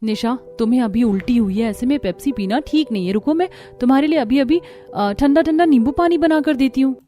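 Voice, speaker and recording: High-pitched young new bride, acted by a woman, earnest and exasperated, cartoon family drama. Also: a little fast, warm tone, soft background music, lightly acted. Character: young, exasperated, well-meaning new daughter-in-law.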